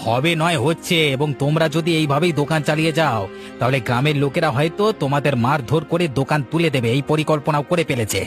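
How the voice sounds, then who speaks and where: A man's voice: a little high, fast talker, soft background music, close to an everyday voice. A man voicing a proud, honest, blunt village food-stall owner in a moral cartoon, speaking in a self-assured way. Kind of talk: Bengali moral cartoon acting.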